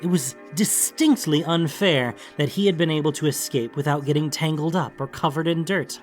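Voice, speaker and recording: A man's voice: fairly high pitch, normal speed. A man reading a scripted fantasy story as an audiobook narrator, in a dramatic storytelling style. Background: background music.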